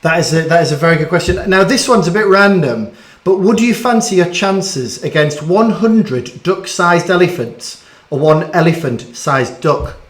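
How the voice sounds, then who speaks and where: Echoey, higher-voiced chatty man interviewing. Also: lively intonation, moderate pace. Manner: chatty, self-deprecating, stumbling over his words. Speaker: interviewer hosting a school bedtime story chat. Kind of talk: casual online question-and-answer chat. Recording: echoey room sound.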